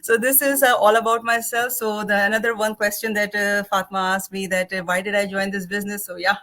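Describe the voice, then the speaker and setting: A woman's voice: mid-range voice, flat delivery, fairly quick, fairly bright tone. A woman in her forties from Pakistan, a physician speaking as a guest on an online panel in a chatty, upbeat way with many fillers and restarts. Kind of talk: casual webinar panel talk. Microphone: video call.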